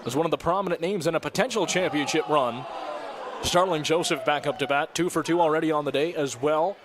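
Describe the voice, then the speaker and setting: A man's voice: fairly high pitch, fairly quick, crowd noise, noisy background. A young man doing live, upbeat baseball play-by-play at an outdoor ballpark. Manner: upbeat, chatty, rises sharply on strikeouts. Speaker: play-by-play broadcaster.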